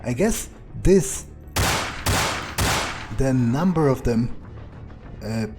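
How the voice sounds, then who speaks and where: A man's voice: mid-range voice, animated, very slow, music in the background. A man doing in-character video game commentary, thinking aloud in a hesitant, musing, sardonic way with casual swearing. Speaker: gaming video creator playing in character.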